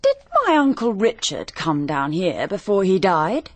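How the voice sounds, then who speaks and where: An actress playing a brisk, self-assured young married Englishwoman in a radio drama, polite but direct and inquisitive. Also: lowish voice, big pitch swings. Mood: probing. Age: young adult.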